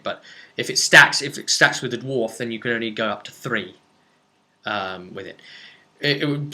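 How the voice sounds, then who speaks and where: A man's voice: mid-range voice, bright, forceful tone. A man giving a casual, unscripted tabletop rules explanation, chatty and emphatic, thinking aloud and checking in with the listener. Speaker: tabletop game explainer answering a subscriber.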